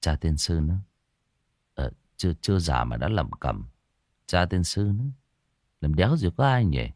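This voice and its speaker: A man voicing a middle-aged village welder who mutters curses to himself in a low, quiet voice. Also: very animated, moderate pace, no room sound, studio quality. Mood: grumbling. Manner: muttering, cursing.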